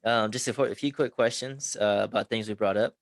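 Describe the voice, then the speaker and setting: A man hosting a podcast interview, talking in a halting way with many pauses and restarts. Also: fairly low pitch, steady tone, no room sound. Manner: hesitant, lots of ums and restarts. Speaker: podcast host. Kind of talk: casual interview chat.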